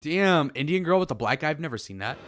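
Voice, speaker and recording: A man's voice: fairly high pitch, expressive, fast, fairly bright tone, a little hiss or hum. A young man streaming live, reacting to clips with blunt, mocking, crude running commentary in a casual way.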